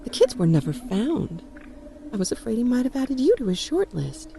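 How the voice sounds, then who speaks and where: A woman's voice: fairly high pitch, big pitch swings, normal speed, vocal fry, warm tone, a little hiss or hum. A woman playing a worried, protective mother in an audio storybook, with an anxious, cautioning manner. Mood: scolding, anxious. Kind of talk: audio storybook character acting.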